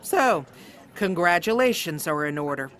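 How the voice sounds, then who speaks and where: An actress playing a stern, condescending chief executive in a scripted comedy, blunt, formal and commanding. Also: female voice, lowish voice, expressive, unhurried, a bit bright. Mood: formal announcing. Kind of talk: scripted workplace comedy acting.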